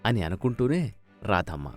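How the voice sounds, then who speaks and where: Animated male storyteller over music. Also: fairly low pitch, moderate pace. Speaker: story narrator. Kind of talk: scripted moral-story narration.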